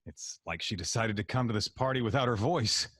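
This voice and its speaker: A man's voice: lowish voice, a little fast, fairly bright tone, husky, no room sound, clean studio sound. A man playing an easygoing, no-nonsense security worker in an audio drama, casual and wry. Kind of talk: conversational audio drama acting.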